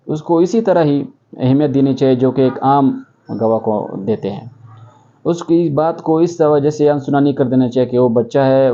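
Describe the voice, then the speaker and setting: A man with a Pakistani accent delivers an explanatory legal lecture in a very dark, soft-edged voice. He sits at a medium pitch and often restates his points, dropping in English legal terms. The audio is dull and lo-fi. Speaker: law lecturer.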